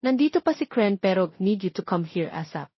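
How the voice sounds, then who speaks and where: Woman acting a curt rich man, husky voice. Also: mid-range voice, big pitch swings, fairly quick, no echo, highs cut off. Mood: insistent. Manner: authoritative, curt. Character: stern, curt billionaire man feigning blindness.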